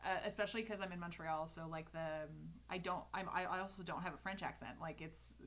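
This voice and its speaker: A woman's voice: lowish voice, a little fast, a bit bright. A woman with a Canadian English accent chatting casually with her live viewers while she letters, upbeat and full of fillers and restarts. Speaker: calligraphy livestreamer.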